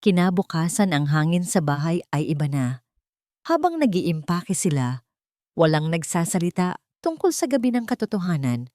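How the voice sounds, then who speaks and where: Female storyteller for romance drama: lowish, mellow voice, sentimental scripted delivery. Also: big pitch swings, moderate pace, no room sound, studio quality.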